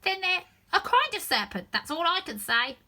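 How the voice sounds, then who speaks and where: A fretful pigeon shrieks and scolds in a high, piercing voice that leaps and swoops, loud and sharp-edged, as a woman reads it out. It sounds like a storybook bird fussing over its nest. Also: sing-song, moderate pace, heavily acted. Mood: scolding. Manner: shrill, indignant, scolding.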